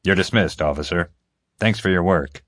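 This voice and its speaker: A man's voice: deep voice, moderate pace, fairly bright tone, no echo, clean studio sound. A man voicing a stern, grumbling security chief in an audiobook, curt, formal and commanding. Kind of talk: audiobook character voice.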